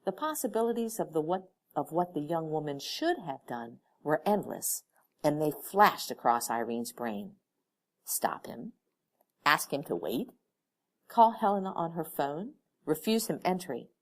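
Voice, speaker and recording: A woman reading an audiobook story aloud in expressive scripted narration. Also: deep voice, unhurried. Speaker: audiobook reader.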